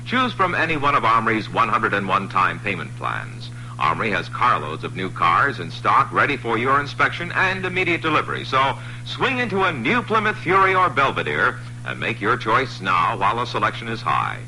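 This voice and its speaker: A man reading a radio car commercial in a bright, punchy salesman delivery. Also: fairly low pitch, big pitch swings, normal speed, hardly any pauses, low-quality recording. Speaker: ad announcer. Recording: faintly hissy 1960s radio commercial.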